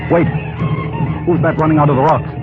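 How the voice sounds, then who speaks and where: An actor playing a commanding, watchful expedition captain in an old-time radio drama. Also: male voice, on the high side, steady tone, fairly quick, lightly acted. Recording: tinny old radio recording, music behind.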